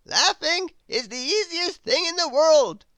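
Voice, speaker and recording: A reader doing a loud, bossy wizard. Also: sits high, expressive, unhurried, no echo, studio quality, voice pushed up. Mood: brisk. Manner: loud, emphatic and drawn out. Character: brisk bossy male wizard.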